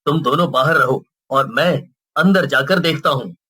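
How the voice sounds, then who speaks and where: A man's voice: medium pitch, normal speed, pushed and bright, husky. A man playing a concerned, protective, earnest father in a cartoon horror story. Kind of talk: Hindi cartoon character acting.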